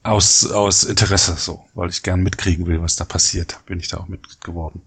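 A man hosting a podcast interview, asking short prompting questions and giving soft acknowledgements. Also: low-pitched, flat delivery, a little fast, slightly rough, no echo. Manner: brief prompting questions, soft back-channel.

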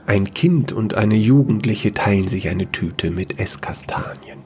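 A man narrating a sci-fi fairy-tale audio story in a measured storytelling manner. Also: lowish voice, a little mellow.

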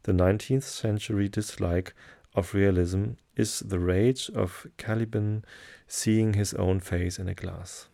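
A German-speaking man with a German accent, hosting a sleep podcast and reading aloud in a low, soothing, hesitant way. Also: vocal fry. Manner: hesitant, many fillers, slow calm delivery.

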